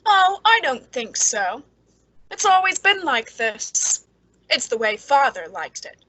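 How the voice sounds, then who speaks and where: A woman's voice: high voice, very animated, slow, pushed and bright, no echo, heavily acted. A woman playing a lonely, frightened, unstable spinster in an old-time radio suspense drama, with a nervous, intense manner. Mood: bitter, brooding.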